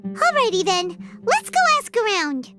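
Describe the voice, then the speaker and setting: A woman voicing a small, childlike, cutesy female floating companion in a video game, with a squeaky voice. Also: big pitch swings, measured pace, over music, heavily acted. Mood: cheerful. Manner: refers to self by name, cutesy.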